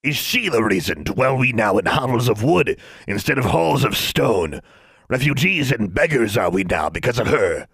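A man's voice: mid-range voice, big pitch swings, moderate pace, creaky, fairly bright tone, husky, no echo, pitched above the performer's own voice, heavily acted. A man voicing an embittered, scarred male dwarf who declaims in old-fashioned, inverted phrases. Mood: bitter.

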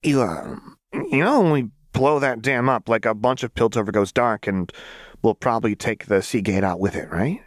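A man voicing a manic, brash young woman saboteur in an audiobook, with a shrill, slangy, rambling delivery. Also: expressive, moderate pace, no room sound, studio quality, close to an everyday voice. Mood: casual, explaining.

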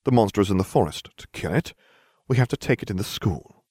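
A man's voice: fairly low pitch, expressive, a little fast, a little mellow, husky, no room sound, clean studio sound. A man voicing an arrogant, scheming schoolboy wizard who talks in a haughty, self-satisfied way.

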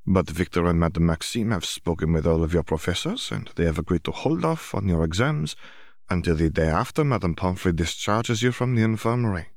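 Man voicing calm, gently firm young woman. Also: low voice, no room sound, studio quality. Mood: reassuring. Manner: measured, formal, reassuring. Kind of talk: audiobook character voice.

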